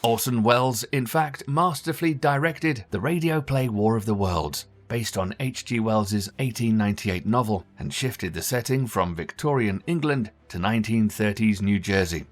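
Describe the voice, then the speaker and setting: A man reading scripted documentary narration in a measured, formal way. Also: lowish voice, lively intonation.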